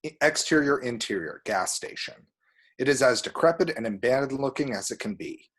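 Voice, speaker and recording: Guy reading out script stage directions, bit of a husky voice. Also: medium pitch. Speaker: reader of screenplay action description. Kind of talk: scripted narration at an amateur table read.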